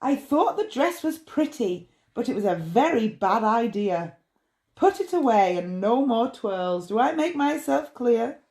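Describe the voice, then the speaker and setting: A woman's voice: fairly high pitch, very animated, measured pace, darkish tone, roomy sound. A woman voicing a caring but scolding mother in a storybook, firm and instructing. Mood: exasperated.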